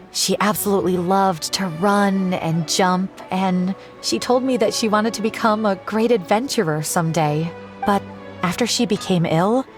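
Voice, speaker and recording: A woman's voice: mid-range voice, lightly acted. An actress playing a distressed mother worried about her sick child in a video game.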